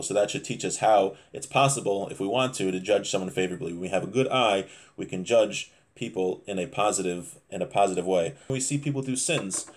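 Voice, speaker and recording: Low-voiced man teaching from a text. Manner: halting, explanatory, repeats and rephrases. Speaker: religious teacher. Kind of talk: informal religious lesson, reading and explaining a text. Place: small home office, indoors.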